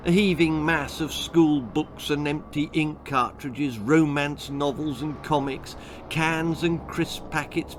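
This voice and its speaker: A man with a British accent reading a children's story theatrically, relishing each word with dramatic pauses. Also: a little high, background noise. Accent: British English. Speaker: author reading own story.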